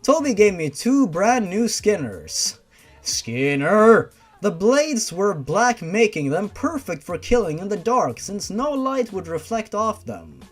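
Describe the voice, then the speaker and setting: A narrator reading a first-person fan fiction story in an expressive, informal way. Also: mid-range voice, takes it slowly. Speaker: story reader. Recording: music behind the voice. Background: music.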